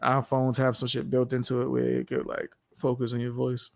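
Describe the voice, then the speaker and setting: Gravelly laid-back man chatting. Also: medium pitch, completely flat delivery, moderate pace. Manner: laid-back, swears freely, slangy. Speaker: streamer. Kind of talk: casual chat about recording.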